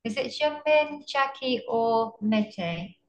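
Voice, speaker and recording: A woman's voice: on the high side, animated, slow. A woman moderating a student debate over a video call, friendly and encouraging, sometimes unsure who speaks next. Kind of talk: informal event hosting on a video call.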